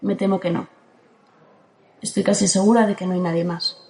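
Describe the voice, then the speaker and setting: A woman from playing a calm, ageless guardian who speaks in a steady, firm way in an audio drama. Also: mid-range voice, a little fast, a little hiss or hum, lightly acted. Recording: roomy echo.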